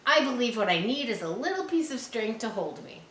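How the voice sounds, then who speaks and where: A woman voicing an eager, naive young male pig from a storybook, earnest and excitable. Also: fairly high pitch, a little fast. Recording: roomy echo, faint background noise.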